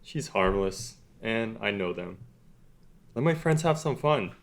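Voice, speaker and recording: A man's voice: fairly low pitch, lively intonation, unhurried. A man voicing an easygoing, confident teenage boy in a table read. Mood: calm. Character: calm, smooth teenage boy crush.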